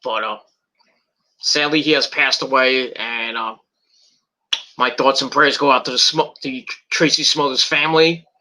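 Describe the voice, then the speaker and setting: A man's voice: mid-range voice, steady tone, unhurried, bright, forceful tone, low-quality recording. A middle-aged man with a cold and a tickling cough, rambling casually in a vlog with many fillers and restarts. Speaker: wrestling video reviewer.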